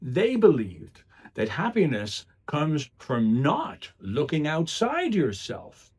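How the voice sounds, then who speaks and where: A middle-aged man delivers a motivational talk in a husky voice. His intonation is very lively, rising and dropping across phrases. He sets out each point deliberately, with emphatic pauses in a storytelling style. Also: a little high. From Ireland. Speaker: public speaker. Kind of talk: motivational club speech with storytelling.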